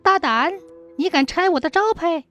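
A woman's voice: very high voice, normal speed, fairly bright tone, soft background music, pitched above the performer's own voice. A woman voicing a brash, boastful matchmaker in a folk tale, with an exclamatory, wheedling and theatrical delivery. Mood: indignant. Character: brash, fast-talking old-time matchmaker woman, cajoling and boastful.

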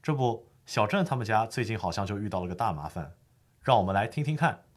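A man's voice: on the low side, lively intonation, fast talker. A man playing an earnest lawyer who formally explains the law in a scripted educational radio drama. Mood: friendly introduction. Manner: formal, didactic. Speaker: legal expert host.

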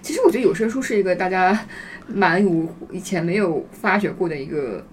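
A woman's voice: lowish voice, brisk, slight background noise. A woman chatting casually in a group podcast as a host, explaining things and putting questions to the others.

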